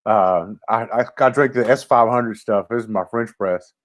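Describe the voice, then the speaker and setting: A man's voice: fairly low pitch, moderate pace. A middle-aged man co-hosting a casual show from home over a video call, laid-back and jokey, often trailing off into a mumble. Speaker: co-host. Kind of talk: casual chat.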